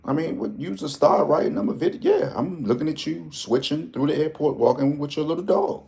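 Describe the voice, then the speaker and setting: A man's voice: a little high, lively intonation, fairly quick, creaky, darkish tone. A man hosting a lottery tips vlog, talking in a casual, joking, rambling way full of slang and asides.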